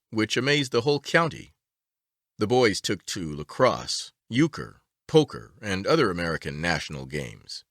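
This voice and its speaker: A man reading a story aloud as an audiobook narrator, with a measured, expressive storytelling delivery. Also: on the low side, no room sound, studio quality.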